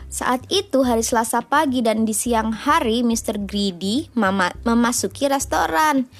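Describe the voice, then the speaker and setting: A woman's voice: a little high, a little slow. An Indonesian woman reading a children's storybook aloud as a mother, expressively and with informal asides. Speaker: mother reading a bedtime story, podcast host.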